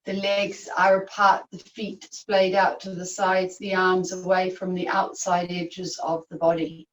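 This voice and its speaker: Female yoga nidra teacher, lowish voice, soothing guided meditation, very unhurried delivery, recorded in an echoey room. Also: monotone. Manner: soothing, unhurried, long pauses. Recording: echoey room, distant microphone.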